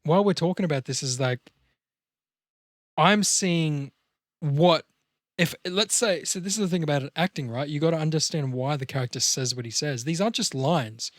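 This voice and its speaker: Guy with a highish voice on a podcast, explaining stuff like an acting coach, casual and sweary, dry sound. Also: moderate pace, no room sound. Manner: explanatory, swears casually, addresses listener directly.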